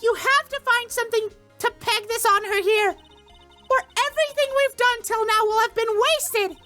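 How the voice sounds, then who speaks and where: A man's voice: very high-pitched, moderate pace, sharp tone, voice pushed up. A man voicing a perky, encouraging young female sidekick from a video game, speaking in a peppy way over music. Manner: peppy, cheering on.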